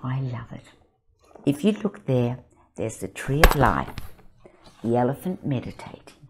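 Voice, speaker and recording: An older woman, the author, reading her picture book aloud and talking about it in an expressive, dramatic storytelling manner, sometimes breathy. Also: rumbling low, a little slow, heavy background noise. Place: indoor studio set, seated in armchair.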